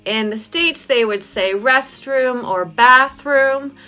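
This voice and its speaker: A woman's voice: high-pitched, takes it slowly. A young woman with a Toronto Canadian accent, chatting playfully to the camera in a vlog and laughing often. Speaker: vlogger. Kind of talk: casual vlog talk to camera. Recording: faint music underneath. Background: soft background music.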